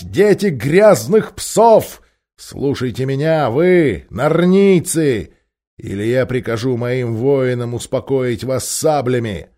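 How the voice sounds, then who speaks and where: A man's voice: fairly high pitch, a little mellow, voice pushed up. A man voicing an arrogant, harsh warlord in an audiobook, thundering threats.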